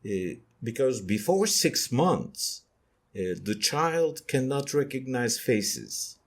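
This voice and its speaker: Slow-speaking Turkish-accented man. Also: mid-range voice, lively intonation. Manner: slow, hesitant with many fillers. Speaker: guest author. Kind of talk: podcast discussion.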